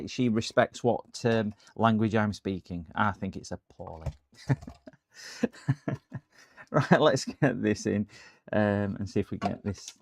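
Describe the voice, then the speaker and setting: A man's voice: medium pitch, no room sound. A British man chatting casually on a repair livestream, rambling in a jokey, mock-indignant manner. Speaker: streamer doing electronics repairs. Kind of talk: casual livestream chat and prank phone call.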